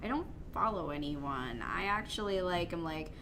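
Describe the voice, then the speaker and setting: Bright-voiced woman in casual chat. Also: on the low side, expressive, moderate pace, a little hiss or hum. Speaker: podcast co-host.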